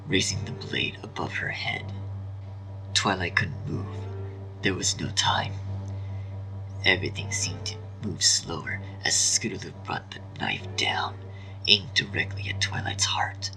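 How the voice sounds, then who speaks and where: A man with a breathy voice reading a dramatic story as narrator. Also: sits low, bright, forceful tone, rough recording.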